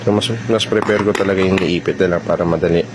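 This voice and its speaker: A man's voice: sits low, fairly even intonation, fast, background noise. A man with a Filipino accent narrating a casual product demo vlog, talking informally and directly to viewers. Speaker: vlogger presenting a product installation.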